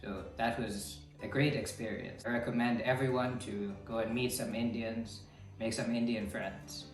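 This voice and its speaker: A man's voice: mid-range voice, moderate pace, recorded from a distance, faint music behind. Finnish man in an echoey room. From Finland. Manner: relaxed with frequent fillers. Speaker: interviewee in a video. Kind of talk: casual testimonial.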